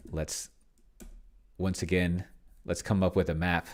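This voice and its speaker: A man's voice: sits low, level tone, a little slow. A man giving a casual, unscripted commentary on his own tabletop game session, rambling and hesitant as he thinks aloud and trails off mid-thought. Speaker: solo tabletop roleplayer and host, narrating own play session.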